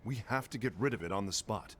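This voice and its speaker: An actor playing a gruff, prickly warrior apprentice in a fantasy game cutscene, curt and stern, a man's voice. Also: lowish voice, fast talker, fairly bright tone, a little hiss or hum, natural voice.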